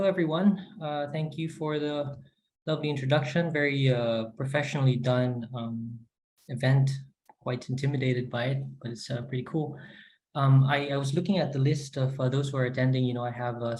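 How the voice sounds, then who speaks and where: Relaxed friendly male lecturer in his forties. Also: mid-range voice. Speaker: guest lecturer. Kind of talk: academic presentation.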